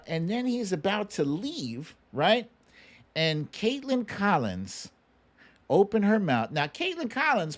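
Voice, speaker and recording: A show host giving casual, conversational political commentary, swearing freely and acting out what others said. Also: mid-range voice. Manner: acts out quotes animatedly.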